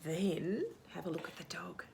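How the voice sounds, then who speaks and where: A woman reading a picture book aloud to young children as a storytime host, expressive, with hushed asides and questions to the listener. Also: fairly low pitch, gravelly, a little hiss or hum. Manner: animated, expressive, asks questions and pauses for answers.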